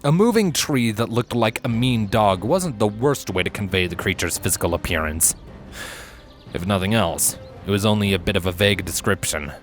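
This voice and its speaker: Casting: male audiobook-style narrator, lowish pitch, scripted fantasy storytelling, music underneath. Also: lively intonation, normal speed. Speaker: fan fiction reader, narrator. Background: background music.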